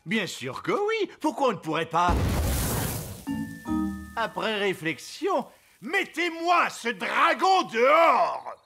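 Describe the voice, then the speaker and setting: A man's voice: extremely high pitch, wildly swooping pitch, takes it slowly, creaky, long pauses, heavily acted. A man playing a pompous, changeable middle-aged fairy king in a children's cartoon. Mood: delighted, then decisive. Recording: loud music under the voice.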